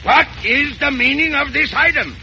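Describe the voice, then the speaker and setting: Angry older uncle in old radio comedy, really high voice, muffled recording, blustery and exasperated. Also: male voice, normal speed, vocal fry, sharp tone, slight background noise, exaggerated voice. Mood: indignant, scolding. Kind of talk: 1930s radio comedy acting. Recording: dull vintage 1930s radio recording.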